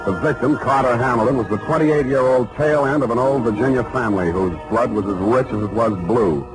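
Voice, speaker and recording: A man's voice: medium pitch, fairly quick, lightly acted, low-quality recording. A man acting a wry, laconic hard-boiled private detective in a 1940s radio drama. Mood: wry narration. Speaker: actor and narrator. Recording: vintage 1940s radio broadcast, loud music.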